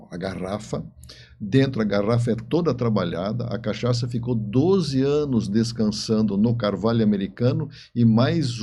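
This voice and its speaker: Brazilian guy on a podcast, mellow tone, explains stuff and repeats himself, bit of background noise. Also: medium pitch. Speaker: guest author. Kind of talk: casual podcast conversation.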